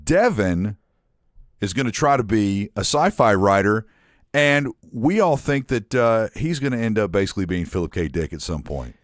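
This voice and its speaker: A young man with a fairly low voice hosts a casual podcast, joking and rambling through anecdotes. The sound is dry and close to the mic. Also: very animated, moderate pace, no echo. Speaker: podcast host.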